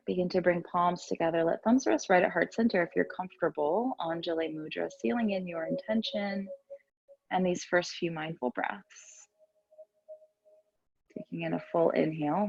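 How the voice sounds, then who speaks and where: Low-pitched woman gently guiding yoga. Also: vocal fry, slightly rough, dry recording, dull audio. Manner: gentle, soothing, unhurried guiding with pauses. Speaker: yoga and meditation teacher. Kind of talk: guided yoga and meditation instruction.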